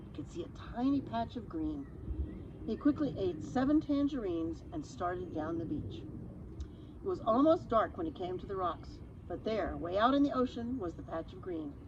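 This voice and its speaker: A woman reads a story for children with very lively, swinging intonation. Her delivery is chatty and explanatory, with informal asides. The recording is somewhat dull, with noticeable background noise. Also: medium pitch. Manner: animated, chatty, explains words simply. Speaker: storyteller reading aloud. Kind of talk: expressive children's story reading with casual asides.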